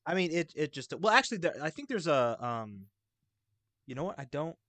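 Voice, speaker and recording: A man's voice: a little high, sing-song, normal speed, a bit bright, no room sound. A man in a casual chat about a video game, eagerly explaining details at length.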